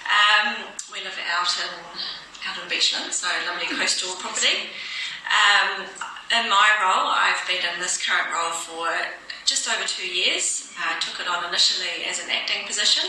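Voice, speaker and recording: A woman in her forties with a New Zealand accent, a nurse manager, talking measured and earnest in an interview. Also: medium pitch, bright, forceful tone. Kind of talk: casual interview. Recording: echoey room, distant mic, noise.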